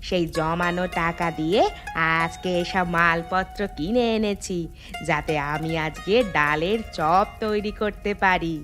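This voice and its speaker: A woman voicing a kindly, patient village housewife in a cartoon, in a gentle, reassuring manner. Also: on the low side, measured pace, over music, close to an everyday voice. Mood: gentle, confiding. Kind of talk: animated cartoon dialogue.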